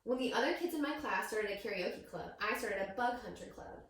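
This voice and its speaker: A woman reading a picture book aloud to young children as a teacher, with expressive storytelling and questions to her listeners. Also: medium pitch, fairly quick. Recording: very echoey room, distant microphone.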